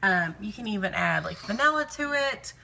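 A woman's voice: medium pitch, animated, normal speed, vocal fry, sharp tone, slight background noise, rough recording. A woman in her thirties chatting casually and digressively to live viewers as she records in her home kitchen. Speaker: live-streaming vlogger and meal-prep host.

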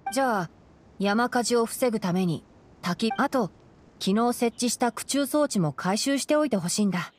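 A woman playing a calm, studious young fox-eared male ranger in a Japanese video game, speaking in a measured, articulate, gently admonishing way. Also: on the high side, slight background noise, lightly acted. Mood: calm, friendly.

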